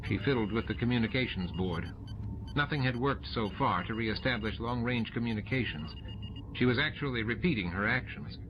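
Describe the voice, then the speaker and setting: A man's voice: mid-range voice, fairly quick, music in the background. A man giving scripted narration of a science fiction novel as an audiobook narrator. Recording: dull lo-fi tape, noisy ambient effects. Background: added ambient sound effects.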